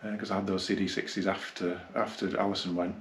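A man gives a casual, unscripted hi-fi review, rambling and halting as he reminisces. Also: deep voice, flat delivery, slight background noise. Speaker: hi-fi dealer and reviewer. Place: small carpeted indoor room, seated.

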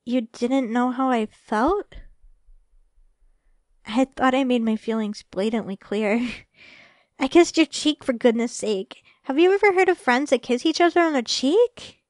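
A woman playing a sweet, shy young girlfriend in a romantic ASMR roleplay, speaking softly and hesitantly with affection. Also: high voice, unhurried, no room sound, studio quality. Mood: hesitant, earnest. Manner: soft, hesitant, affectionate, stammering when flustered.

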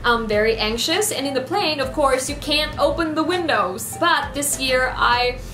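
High-voiced young Filipina vlogger in a roomy space. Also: female voice, expressive, normal speed, bright, forceful tone. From Philippines. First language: Ilonggo. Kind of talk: casual listing of facts to camera. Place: small decorated room indoors. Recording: roomy echo, music behind.

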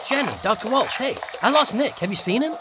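A man's voice: extremely high pitch, animated, fairly quick, bright, forceful tone, a little hiss or hum, exaggerated voice. An actor playing a brash, jokey young male student in a radio drama.

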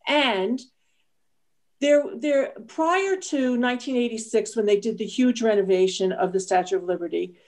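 A woman's voice: on the high side, moderate pace, darkish tone. A woman explaining history emphatically in a panel discussion, speaking indoors on a video call.

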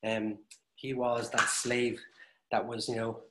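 A man's voice: medium pitch, flat delivery, slow, noisy background. A man hosting an online literary event on a video call, introducing readings in a thoughtful, discursive way with fillers. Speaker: host and director. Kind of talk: reflective lecture-style introduction. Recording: roomy echo on a video call.

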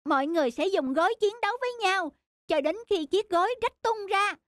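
High-voiced, bubbly little cartoon girl. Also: lively intonation, fairly quick. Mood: excited. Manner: bubbly, childlike. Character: eager, affectionate little girl with big enthusiasm. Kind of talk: animated cartoon dialogue.

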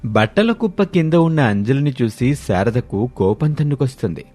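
A man's voice: mid-range voice, expressive, moderate pace, warm tone. A man reading scripted narration for a family story as its narrator. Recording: music behind the voice.

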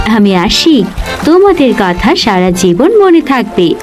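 A woman voicing a kind, grateful young fairy in a children's cartoon, speaking gently in measured phrases. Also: fairly high pitch, sing-song. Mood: generous, solemn. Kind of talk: children's cartoon story dubbing. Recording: loud music behind the voice. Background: music.

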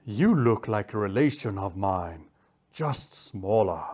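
A woman voicing a stern, commanding male cheetah with a calm, menacing manner in a children's story. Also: mid-range voice, a little slow, pitched below the performer's own voice, close to an everyday voice. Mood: calm menace. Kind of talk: children's animal story character voice.

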